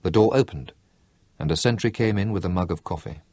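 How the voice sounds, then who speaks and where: A man narrating a novel as an audiobook, in a measured, expressive storytelling manner. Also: sits low, dry recording. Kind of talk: scripted narration.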